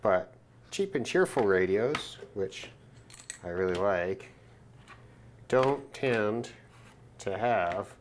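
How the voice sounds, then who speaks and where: A man's voice: lowish voice, lively intonation, slow pace, long pauses, slight background noise. A man presenting an unscripted hands-on demonstration in a casual, matter-of-fact way, thinking aloud as he goes. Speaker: presenter of a radio gear test video. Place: indoors at a work desk.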